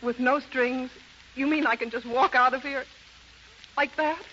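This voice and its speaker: A high, earnest female voice plays a sorrowful, loving convicted mother with halting melodrama. It is 1930s radio acting, heard through a band-limited old recording with steady noise. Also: highs cut off. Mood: stunned disbelief. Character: convicted young mother, loving, grief-stricken, resigned.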